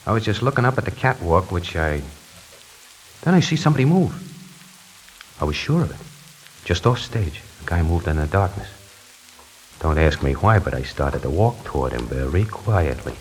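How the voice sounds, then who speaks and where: A man playing a nervous, talkative tie salesman who narrates his own story in a 1940s radio drama, moving between hushed and frantic. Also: low voice, sing-song, normal speed, pauses often, a little hiss or hum. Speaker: radio actor narrating in character.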